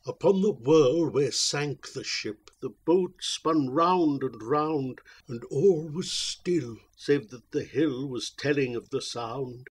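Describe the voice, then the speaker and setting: A man's voice: medium pitch, slow pace, hardly any pauses, breathy edge. A man voicing a tormented old mariner who solemnly declaims his tale in archaic verse. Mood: haunted.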